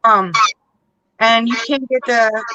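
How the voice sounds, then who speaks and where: Bright, high-voiced playful woman. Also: fairly even intonation, slow, muffled recording. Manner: playful, teasing. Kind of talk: casual group chat.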